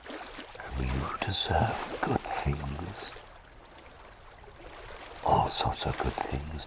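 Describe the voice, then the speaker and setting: A man playing a gentle, flustered plague doctor in a whispered ASMR roleplay, soothing his patient with halting, repeated reassurances close to the microphone. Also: rumbling low, creaky, exaggerated voice.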